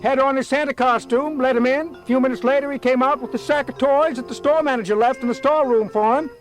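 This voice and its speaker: A man's voice: very high voice, fairly even intonation, fast. An actor playing a fussy, folksy night watchman in a children's cartoon audio drama, talking in clipped sentences. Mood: matter-of-fact. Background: faint music.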